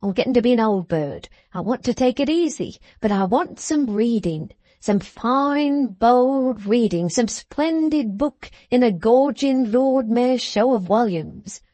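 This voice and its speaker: A woman's voice: a little high, expressive, measured pace, dark tone, dry recording, voice pushed up. A woman voicing a jovial, boastful older man who speaks in broad, ungrammatical English, eager and enthusiastic. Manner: broad, rough-grammared, enthusiastic.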